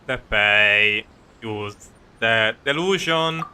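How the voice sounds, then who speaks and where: A man streaming a video game, casually commenting and reading dialogue aloud in a halting, sweary way. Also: fairly low pitch, pushed and bright, clear, resonant tone.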